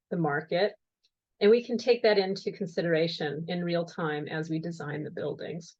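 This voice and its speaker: Woman presenting plans over a dull call. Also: fairly low pitch. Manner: measured, explanatory, occasional fillers. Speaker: county project presenter. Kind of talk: slide presentation on a video call.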